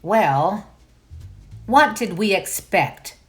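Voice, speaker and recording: A woman's voice: medium pitch, lively intonation, very slow, soft background music. A woman voicing a polite, formal storybook goblin who cares about manners, in emphatic declarations. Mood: puzzled. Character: polite, proper goblin host, fond of manners.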